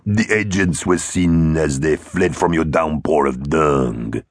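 A man voicing a menacing dinosaur palace guard captain in a storybook, with a croaking snarl and a curt, formal, commanding manner. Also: rumbling low, takes it slowly, no room sound, clean studio sound. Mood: cold, formal.

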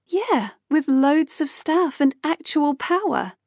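An exasperated, outspoken young woman, read by a female narrator, firing off complaints in a high, animated voice. Her tone is forceful and scolding, with a gravelly edge in a dry close recording. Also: takes it slowly, no room sound, exaggerated voice. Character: young woman, sharp, frustrated and outspoken colleague.

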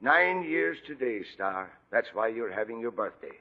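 An actor playing a gruff but tender old lighthouse keeper in a radio drama, speaking affectionately in folksy seafaring dialect. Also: male voice, medium pitch, lively intonation, moderate pace, a little hiss or hum, lightly acted. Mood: fond. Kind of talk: 1940s radio acting. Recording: dull vintage radio broadcast, long reverb.

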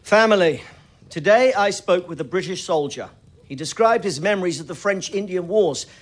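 An actor playing a grave, principled Quaker shoemaker and family patriarch in an audio drama, speaking in a solemn, measured, preaching way. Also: male voice, sits high. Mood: solemn, devout. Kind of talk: audio drama stage acting.

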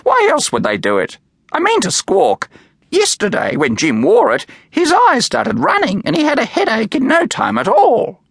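A man voicing an excitable small female bird who squawks and chatters in a high, shrill voice. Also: moderate pace, gravelly, slightly rough, dry recording, studio quality, full character voice. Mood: excited chatter.

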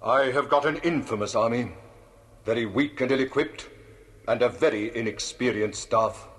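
An actor playing a blunt, confident aristocratic British army commander in a historical audio drama, speaking in a clipped, dry way. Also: male voice, mid-range voice, normal speed, lightly acted. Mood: scornful.

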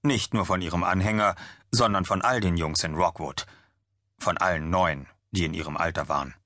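A man with a very low voice narrates a fantasy audiobook. The recording is dry and close, with no room around the words. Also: moderate pace, bright, forceful tone, no echo.

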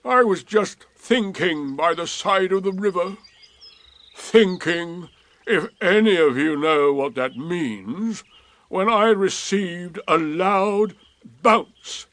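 A man voicing a gloomy, sardonic old male donkey with a slow, drawn-out, mournful delivery in a storybook reading. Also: sits high, lively intonation, fairly bright tone, voice pushed up, exaggerated voice.

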